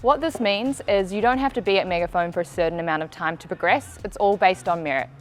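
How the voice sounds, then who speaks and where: A woman with an Australian accent and a slightly low voice delivers scripted recruitment narration. She speaks as a company presenter, with music playing underneath. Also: fast talker.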